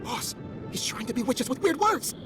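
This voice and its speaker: A woman's voice: high-pitched, sing-song, a little fast, over music. A woman voicing a timid young henchman in a video game, hesitant, anxious and easily spooked. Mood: alarmed.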